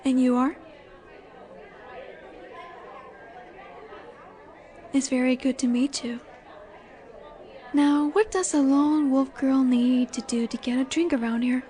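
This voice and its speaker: A woman's voice: high-pitched, mellow, natural voice. A woman playing a confident, flirtatious werewolf alpha in a roleplay audio drama, teasing and seductive in her delivery. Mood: playful, flirty. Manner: teasing, seductive, slow and assured. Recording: bar chatter behind the voice. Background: bar ambience with crowd murmur.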